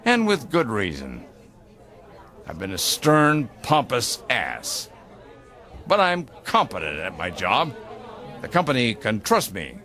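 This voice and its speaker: An actor playing a jovial, pompous boss cutting loose over drinks, with an expansive, playfully grandiose theatrical delivery. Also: male voice, medium pitch, huge pitch swings, slow, long pauses, sharp tone, a faint crowd, heavily acted. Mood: confiding. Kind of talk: theatrical radio drama acting.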